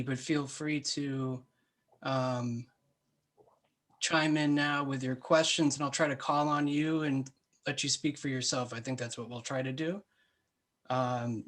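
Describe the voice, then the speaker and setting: A man hosting an online webinar, moderating questions informally in a polite, halting way. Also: mid-range voice, a bit bright.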